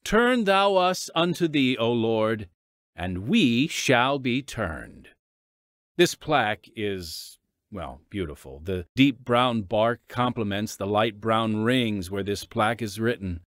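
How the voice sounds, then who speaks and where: An American man hosting a podcast, reading scripted radio announcements with measured, emphatic phrasing. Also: lowish voice, expressive, a bit bright, dry recording, clean studio sound. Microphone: close studio microphone. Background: music.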